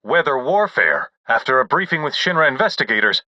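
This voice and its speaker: A man's voice: mid-range voice, very animated, fairly quick, sharp tone, no room sound, muffled recording. A man voicing a news announcer in a video game, formally reading grave bulletins with emphatic, dramatic delivery.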